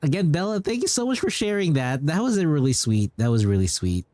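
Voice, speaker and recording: A man's voice: on the high side, sing-song, brisk, darkish tone, no echo. A man streaming a game, chatting casually with viewers and reacting to what is on screen. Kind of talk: casual livestream chat, reacting to gameplay and viewers.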